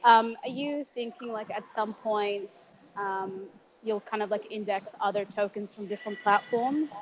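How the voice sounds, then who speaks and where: A woman's voice: mid-range voice, a little slow. A woman with a mid-range voice chats informally as an interview host. Her talk is loose and slangy. The online call sounds slightly muffled, with faint noise behind her. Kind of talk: casual live audio chat. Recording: muffled, noisy online audio chat.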